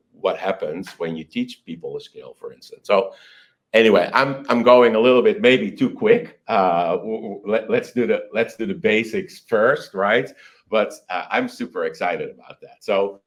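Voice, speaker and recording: Low-voiced guy answering interview questions, keen, kinda stop-start delivery. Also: moderate pace. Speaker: company chief executive being interviewed.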